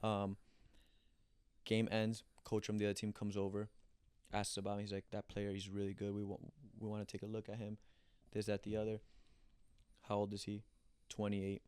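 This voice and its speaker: A young man from Colombia, a former pro soccer player, telling animated stories in a casual interview, acting out quotes and restarting often. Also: on the low side, moderate pace, smooth, no room sound. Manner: animated storyteller, acts out quotes, frequent restarts.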